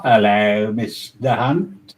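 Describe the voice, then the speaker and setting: A man's voice: lowish voice, takes it slowly. A man hosting a casual live stream panel, talking in a rambling, stop-start way and chuckling often.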